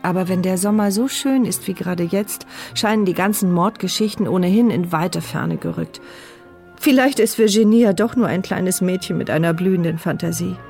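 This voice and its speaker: An actress playing a paralysed young woman who narrates her inner thoughts in a measured, reflective way. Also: on the low side, gravelly, a little mellow, soft background music. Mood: hopeful.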